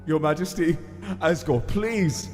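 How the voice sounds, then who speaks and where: A man's voice: on the high side, takes it slowly, a little mellow. An actor playing an anxious, loyal monster adviser to a king in a comic dub, earnest, hesitant and pleading. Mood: desperate pleading. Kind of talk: dramatic comic dub acting. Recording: long reverb, faint music behind.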